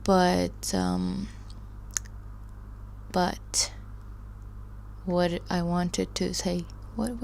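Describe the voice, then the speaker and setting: A woman's voice: mid-range voice, creaky, a little mellow, slight background noise. A woman hosting a live study stream, chatting casually with viewers and reading their comments aloud in a rambling, chatty way. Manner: rambling, chatty, laughs often, trails off.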